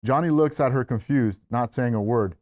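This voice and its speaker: Guy reading out screenplay action lines at a table read, mid-pitched voice. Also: moderate pace, darkish tone. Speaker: stage-direction narrator.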